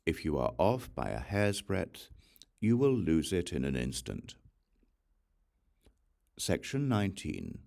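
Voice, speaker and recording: Very deep, slow, gravelly male narrator. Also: a little mellow. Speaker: audiobook reader. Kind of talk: scripted scripture narration.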